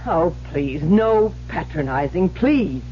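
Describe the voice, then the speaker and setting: An actress playing a defensive, high-strung woman writer in a vintage radio drama, emotional and sharp-tongued. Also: expressive. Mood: defensive, indignant. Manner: emotional, sharp-tongued, halting under pressure. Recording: muffled old radio broadcast with hiss and hum.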